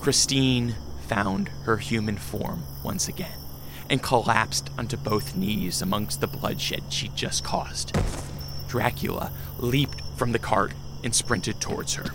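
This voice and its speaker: A man's voice: fairly low pitch, takes it slowly, noisy background. A man narrating a gothic adventure radio drama in a solemn, literary, dramatic manner. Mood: grave, intense.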